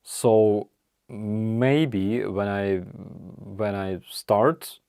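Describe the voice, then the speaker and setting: A man with a Ukrainian accent hosting a casual live chat, answering viewer questions in halting, apologetic English with many restarts. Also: lowish voice, steady tone, vocal fry, mellow, smooth, dry recording, clean studio sound. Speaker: livestream host.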